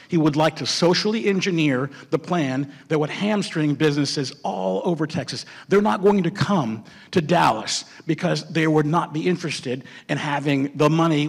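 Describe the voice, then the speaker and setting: A man with a husky voice answering as a political candidate in a debate, emphatic and fond of stacked lists. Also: fairly high pitch. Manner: emphatic, piling up adjectives in lists. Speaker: mayoral candidate. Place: public forum venue.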